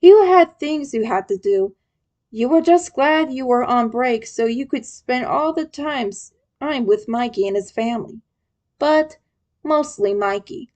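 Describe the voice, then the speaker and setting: A woman's voice: a little high, a little slow, darkish tone. A woman reading a scripted story aloud as a narrator, addressing the listener as the main character. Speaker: fan fiction reader.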